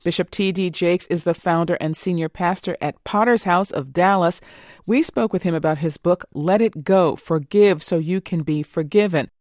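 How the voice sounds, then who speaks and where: A woman hosting a public radio program, with a polished, measured announcer delivery. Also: on the low side, a little mellow, no room sound. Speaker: radio host. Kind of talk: public radio interview and segment intro.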